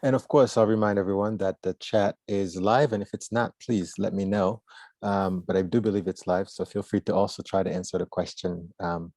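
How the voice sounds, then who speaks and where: A man moderating an online discussion informally, summing up what he has heard in a halting, reflective way. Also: deep voice, steady tone, a little mellow, dry recording. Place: video call.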